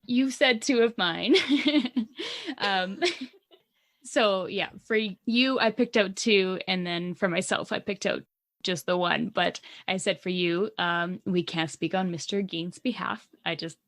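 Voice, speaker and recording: A woman's voice: medium pitch, takes it slowly, fairly bright tone. A woman with a Canadian accent co-hosting a podcast in casual chat, giggly and self-deprecating. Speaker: podcast co-host.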